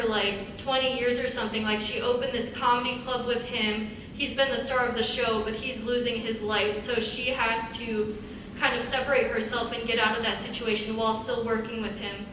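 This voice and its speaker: A woman writer on a student panel, explaining her personal reading of a script. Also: a little high. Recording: echoey hall from far off, noisy.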